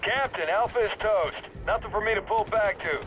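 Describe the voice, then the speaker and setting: A brisk, tough combat sergeant voiced by a man in a game cinematic. He reports in clipped military phrases, pitched high, with clear battlefield noise and gunfire around him. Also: natural voice. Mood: urgent, businesslike. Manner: clipped military radio talk.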